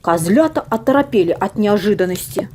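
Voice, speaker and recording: A woman reading a children's fairy tale aloud as a storyteller, with theatrical, drawn-out emphasis. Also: medium pitch, animated, a little mellow, a little hiss or hum. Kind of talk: expressive scripted children's story narration.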